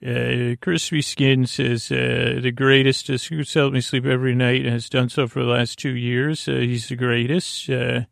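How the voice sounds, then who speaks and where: Gravelly, mumbling male sleep podcast host. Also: mid-range voice, level tone, no echo, studio quality. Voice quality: soothing, gravelly. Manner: meandering, halting, self-deprecating mumbling. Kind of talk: rambling bedtime sleep podcast monologue.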